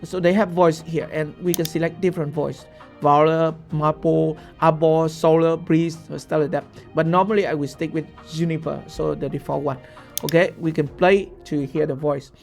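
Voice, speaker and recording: A young man whose first language is Vietnamese, giving a step-by-step screen-share software tutorial and checking in with frequent "okay?" asides. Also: on the high side, fairly even intonation, normal speed, creaky, soft background music. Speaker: tutorial host.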